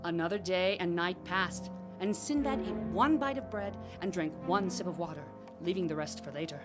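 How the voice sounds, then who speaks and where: Radio drama narrator, female actor: slightly low, measured storytelling over a musical score. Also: over music, lightly acted.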